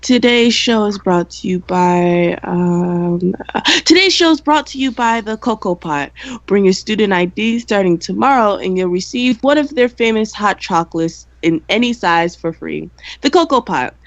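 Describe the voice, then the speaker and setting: A young woman playing a dry, cynical high school senior girl who co-hosts a campus radio show in an amateur radio play. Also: animated, moderate pace, dry recording, muffled recording, close to an everyday voice. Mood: announcing.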